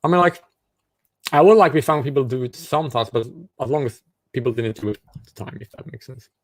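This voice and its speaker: A man from South Africa hosting a livestream, asking a stranger questions in halting, restart-heavy casual talk over a video call. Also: mid-range voice. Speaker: livestream host chatting with strangers.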